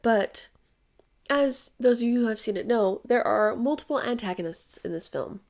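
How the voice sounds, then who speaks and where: A woman's voice: on the high side, lively intonation, moderate pace, darkish tone. A young woman with a cold, sniffly and tired-voiced, chatting casually about a film as a YouTube host, thinking aloud with fillers and restarts. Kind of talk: casual movie review.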